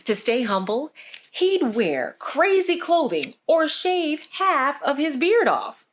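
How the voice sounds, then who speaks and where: A woman reading a storybook aloud for children, with expressive, deliberate phrasing. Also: high-pitched. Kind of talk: scripted storybook narration for children.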